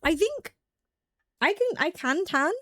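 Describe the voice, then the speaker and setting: A woman's voice: extremely high pitch, sing-song, slow, pushed and bright, dry recording, studio quality. A young woman streaming a game at home, chatting casually with viewers, laughing often and filling her talk with "like". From United Kingdom. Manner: chatty and giggly, many "like" fillers.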